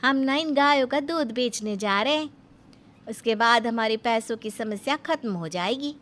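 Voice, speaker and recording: A woman voicing a high bright village wife. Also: normal speed, natural voice. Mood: determined. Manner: rustic, emphatic "na" fillers. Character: plain-spoken young village wife, stubborn and thrifty. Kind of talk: cartoon story voice acting.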